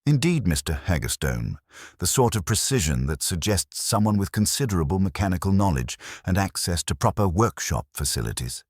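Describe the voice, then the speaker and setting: A man's voice: deep voice, very animated, fairly quick, no echo, studio quality, voice pushed up. A man voicing a shrewd, understated English police inspector in a mystery audiobook, conversational yet formal. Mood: calm, probing.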